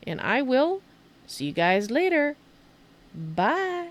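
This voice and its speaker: A woman's voice: sits high, big pitch swings, takes it slowly, a little hiss or hum. A woman streamer giving casual video game commentary, rambling and thinking aloud as she goes.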